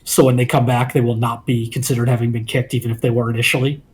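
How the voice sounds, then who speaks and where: A man co-hosting a card game review, talking in a measured, analytical way with some filler ums. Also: medium pitch, flat delivery, slight background noise. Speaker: co-host.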